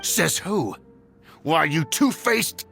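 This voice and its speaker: Game role: oily, flustered older nobleman, lively swooping delivery, faint music bed. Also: a little high, slow pace, vocal fry, exaggerated voice. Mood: indignant bluster. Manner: obsequious, blustering, hesitant. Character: scheming, fawning, flustered aging male clan lord. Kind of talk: dramatic video game voice acting. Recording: music behind the voice. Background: game background music.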